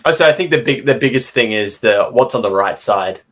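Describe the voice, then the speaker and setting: A man's voice: medium pitch, animated, brisk, a bit bright. A man co-hosting a podcast, bantering casually in a joking, sarcastic way. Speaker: podcast co-host.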